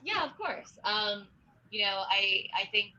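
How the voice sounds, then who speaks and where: A woman's voice: mid-range voice, big pitch swings, unhurried, sharp tone, lo-fi sound. A woman literary agent answering questions in a live online Q&A, chatty, with many ums and restarts. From grew up speaking Spanish and Norwegian at home.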